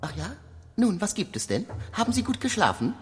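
An actor playing a clerk's sly, insolent double in a radio play, insinuating and falsely friendly, often close to a whisper. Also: male voice, high voice, very animated, a little fast, slightly rough, exaggerated voice. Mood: taunting. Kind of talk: German radio play acting.

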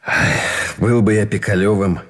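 An actor playing a weary, principled Russian lieutenant in captivity in a radio drama, speaking with bitter, formally polite restraint. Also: male voice, fairly low pitch, fairly even intonation, normal speed, lightly acted. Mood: bitter regret.

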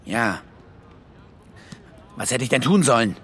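An actor playing a defensive, bereaved brother, a stadium caretaker, guarded and curt in a radio drama. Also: male voice, medium pitch, sing-song, takes it slowly, a bit bright, a little hiss or hum. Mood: shaken, defensive. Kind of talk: German radio drama acting.

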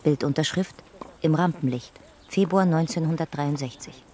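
A woman reading scripted narration in a measured, documentary manner. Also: sits low, darkish tone, lightly acted.

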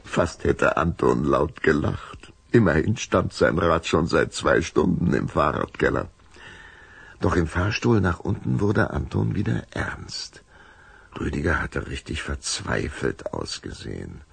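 A man narrating a scripted children's story in a deep, gravelly, husky voice, dramatic and suspenseful, close to the microphone. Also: very animated, measured pace, dry recording.

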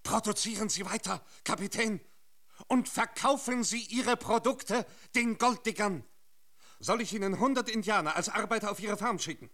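An actor playing an earnest, persuasive mission priest in a radio drama, speaking with insistent emphasis. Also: male voice, sits high, moderate pace, bright, forceful tone. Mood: urgent, persuasive.

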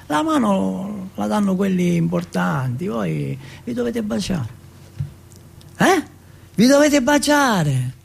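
Man acting a high, raspy, taunting prison bully. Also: very animated, takes it slowly, heavily acted. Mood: gloating, commanding. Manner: taunting, coaxing, repetitive commands, coarse swearing. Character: big young male jailhouse bully, mocking, bossy, sadistic. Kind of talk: theatrical stage monologue.

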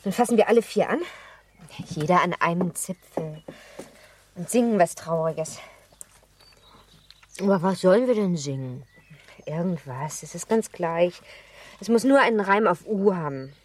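A headstrong, well-born girl of seventeen in a radio drama. Her voice sits a little low for a woman, and she chatters on with playful, teasing self-assurance. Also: lively intonation, unhurried, long pauses. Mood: mock solemn. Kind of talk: German radio play acting.

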